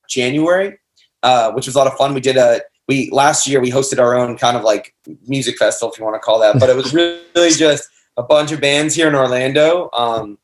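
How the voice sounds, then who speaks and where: Young American male band member, casual interviewee, loose slangy storyteller, mid-range voice. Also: mid-range voice. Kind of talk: casual chat.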